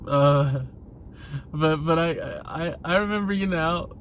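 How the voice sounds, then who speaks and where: A man's voice: on the high side, unhurried, a little hiss or hum. A man talking casually in a rambling monologue, hesitant and often restarting his phrases, as a vlogger posing questions.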